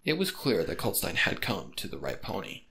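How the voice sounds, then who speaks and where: A man's voice: sits low, wildly swooping pitch, a little fast, creaky, pushed and bright. A man reading a story aloud as a narrator, with dramatic scripted delivery. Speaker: fan fiction narrator.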